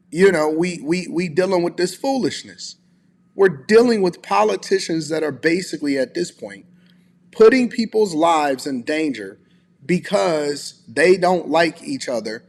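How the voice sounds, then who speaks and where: An American man hosting, giving casual, opinionated commentary in an emphatic, conversational way that repeats points for stress. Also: fairly high pitch. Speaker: commentator, host.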